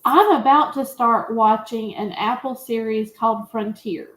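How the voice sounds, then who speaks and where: High-voiced woman in an echoey room. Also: measured pace, fairly bright tone. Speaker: panelist on a movie review show. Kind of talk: casual chat about films and series. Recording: roomy, echoey sound.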